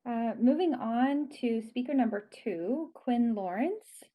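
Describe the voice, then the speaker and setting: A woman's voice: a little high, animated, slow pace, warm tone. Polite woman chairing a council meeting. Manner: polite, formal procedural phrasing. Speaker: committee chair.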